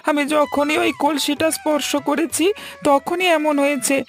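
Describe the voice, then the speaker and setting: Woman acting a high-voiced plaintive village boy. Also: level tone, normal speed. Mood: insistent. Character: suffering young village boy, pleading with his mother. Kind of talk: Bengali fairy-tale cartoon dialogue.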